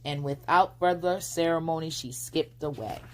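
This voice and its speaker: A woman's voice: sits low, normal speed, poor audio. A woman reading a bedtime storybook aloud as its narrator. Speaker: storybook reader.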